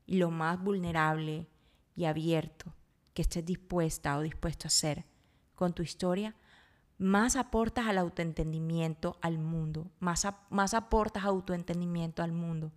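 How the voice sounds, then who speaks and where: A woman's voice: fairly low pitch, fairly even intonation, measured pace. A woman hosting a solo podcast, talking reflectively and informally, earnestly working out her thoughts aloud. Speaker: podcast host.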